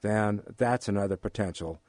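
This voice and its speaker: Older American man, lowish voice, dry sound. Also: a little mellow, no room sound. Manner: halting, emphatic repetition of phrases. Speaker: economics instructor giving an interview. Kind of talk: interview answers, explanatory.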